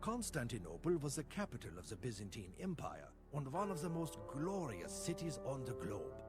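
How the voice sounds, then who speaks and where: A grave game narrator telling tales of medieval history. His pitch rises and falls very widely as he delivers scripted lines with theatrical, measured weight. Loud music plays behind him. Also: sing-song. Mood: solemn, dramatic. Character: grave male storyteller recounting medieval history. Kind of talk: scripted dramatic storytelling narration.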